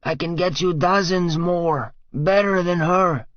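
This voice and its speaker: Captured hired gunman, raised strained pitch, broken English, audiobook villain, dry muffled sound. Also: a little slow, no room sound. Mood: bargaining. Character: captured hired gunman, bargaining, in pain, foreign. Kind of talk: audiobook character dialogue.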